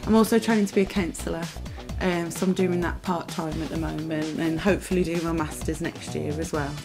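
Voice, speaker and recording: Calm low-voiced young woman. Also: fairly quick, music in the background. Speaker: interviewee, support worker. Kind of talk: documentary interview.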